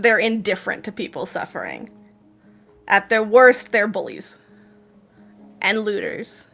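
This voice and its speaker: A woman's voice: medium pitch, a bit bright. A woman with an Israeli accent, narrating a tabletop game as game master in a casual, laughing way. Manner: laughs often, casual with fillers.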